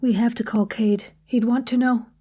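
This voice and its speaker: Impatient, high, mellow-toned ally. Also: female voice, fairly even intonation, moderate pace, breathy edge. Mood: urgent. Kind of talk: audio drama acting.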